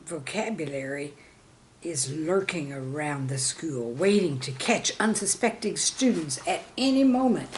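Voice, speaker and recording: A woman in her sixties, a teacher reading humorous classroom anecdotes in an expressive, deliberate storytelling manner. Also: low-pitched, a little hiss or hum. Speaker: retired or veteran teacher, storyteller host. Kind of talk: scripted humorous anecdote narration.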